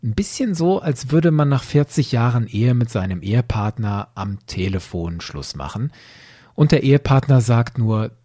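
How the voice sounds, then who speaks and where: A man's voice: mid-range voice, expressive, moderate pace, warm tone, breathy edge, no echo, clean studio sound. A man hosting a podcast, delivering scripted commentary in an opinionated, emphatic way. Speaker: podcast host.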